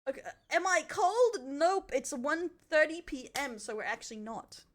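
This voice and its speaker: A woman's voice: sits high, very animated, moderate pace, fairly bright tone. An Australian woman streamer giving a casual, rambling, self-deprecating reaction as she chats to her viewers. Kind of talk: casual livestream reaction chat.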